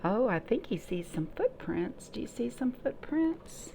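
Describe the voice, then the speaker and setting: A woman's voice: a little high, measured pace, gravelly. Woman hosting children's storytime, noisy recording. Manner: sing-song, expressive, asking simple questions as to small children. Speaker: children's storytime reader and host. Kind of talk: read-aloud storytime with chatty asides to young children. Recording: noticeable background noise.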